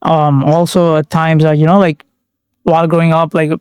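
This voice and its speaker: Young guy, highish voice, laid back slangy chat, dry close sound. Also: moderate pace, smooth, dry recording. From Bangladesh. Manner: relaxed slangy talk full of fillers and restarts. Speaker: guest. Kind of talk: casual chat.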